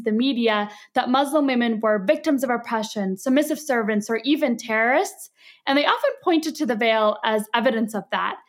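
A young woman from Egypt, a podcast producer, interviewing a guest in an earnest, polite and thoughtful way. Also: fairly high pitch. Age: young adult.

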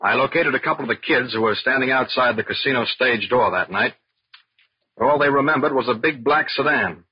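An actor playing an inquisitive, deferential junior federal agent in a 1940s radio crime drama. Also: male voice, medium pitch, fairly quick, slightly rough, no echo, close to an everyday voice. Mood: attentive. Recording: dull, dry old radio recording.

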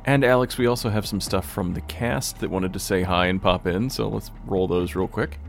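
Low creaky-voiced man chatting. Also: moderate pace, faint music behind. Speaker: podcast producer and host. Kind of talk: casual chat.

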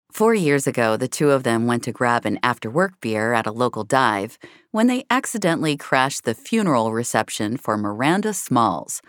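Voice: mid-range voice, dry recording, studio quality. A narrator reading scripted audio fiction in a wry, hard-boiled storytelling manner.

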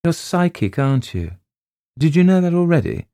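A man voicing a brisk, matter-of-fact middle-aged female schoolteacher in a read story. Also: fairly high pitch, big pitch swings, mellow, clean tone, dry recording, clean studio sound, voice pushed up.

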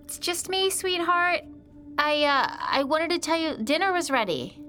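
A woman playing an anxious, weary suburban mother in a naturalistic audio drama, talking in a conversational, often hushed way with an exasperated edge. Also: very high-pitched, animated, measured pace, soft background music, full character voice. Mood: awkward, gentle.

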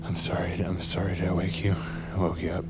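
An actor playing a jittery, wry American assassin on the run, narrating an audio drama in a halting, self-interrupting way. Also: male voice, sits low, level tone, very noisy, close to an everyday voice. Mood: sheepish, wry. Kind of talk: audio drama acting and first-person narration.

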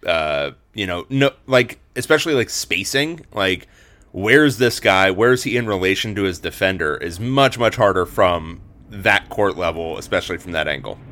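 Low-ish guy with a bit of gravel in his voice, rambly podcast host, lots of um and like. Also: lively intonation, moderate pace, fairly bright tone. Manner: rambling, many fillers and restarts. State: slightly hoarse. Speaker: podcast host and sports reporter. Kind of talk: casual sports podcast chat.

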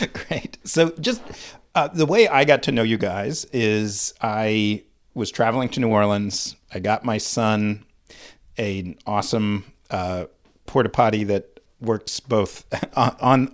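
Casting: male interview host, slightly low voice, informal and keen, conversational podcast delivery. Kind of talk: casual interview.

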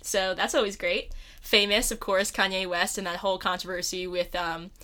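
A woman's voice: medium pitch, flat delivery, moderate pace, pushed and bright. A young woman co-hosting a podcast in casual chat, with a playful, gushing and emphatic way of talking. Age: young adult. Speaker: podcast co-host.

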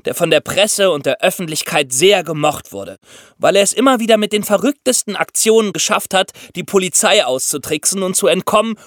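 A man's voice: high voice, brisk, a bit bright, dry recording, studio quality. A man co-hosting a true-crime podcast, explaining things in a conversational way. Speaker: podcast co-host.

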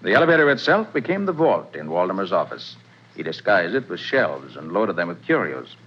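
Quick, lively, husky-voiced detective, 1940s radio drama, lo-fi. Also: male voice, low voice, heavily acted. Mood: confident. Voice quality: brisk, self-assured explaining. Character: confident male detective explaining the case. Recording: dull old radio broadcast.

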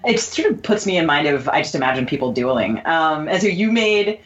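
A woman with a low voice co-hosts an interview podcast. She sounds articulate and polished, on audio that is a little dull. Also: very animated, brisk. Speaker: podcast co-host. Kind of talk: scripted introductions and interview questions.

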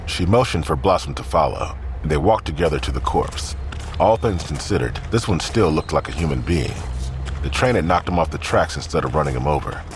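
A man's voice: a bass voice, expressive, fairly quick, a little hiss or hum. A man reading scripted narration for an audio drama.

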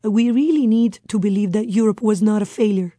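Italian woman guest, earnest radio talk, warm mellow voice. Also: medium pitch, a little fast, dry recording, clean studio sound. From Italy. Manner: earnest, repeats words, strongly believes what she says. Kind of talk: radio interview.

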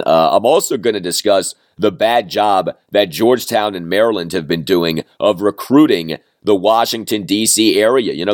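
Hoarse man hosting a sports podcast. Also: fairly low pitch, no room sound, clean studio sound. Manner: plainspoken, self-deprecating, brisk rundown. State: hoarse, losing his voice from a cold. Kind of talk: solo sports podcast talk.

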